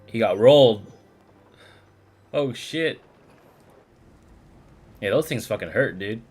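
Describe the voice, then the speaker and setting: A man's voice: fairly low pitch, expressive, slow, a little mellow, husky, soft background music. A man giving casual gaming commentary as a streamer, in an offhand, slangy, sweary way.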